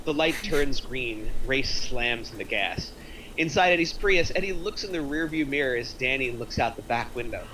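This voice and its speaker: A man's voice: medium pitch, animated, moderate pace, sharp tone, rough recording. A man reading screenplay action aloud as a scripted narrator. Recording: faint background noise.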